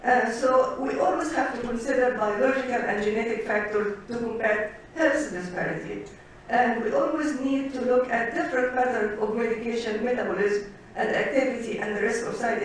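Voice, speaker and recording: A woman physician giving a conference presentation, halting, with many pauses and fillers as she works through lists. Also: on the high side. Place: conference hall. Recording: echoey hall, distant mic, faint noise.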